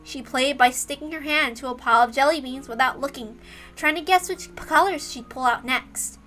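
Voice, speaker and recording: Bright, high-voiced woman narrating a story. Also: normal speed, faint music behind. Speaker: narrator reading a visual novel.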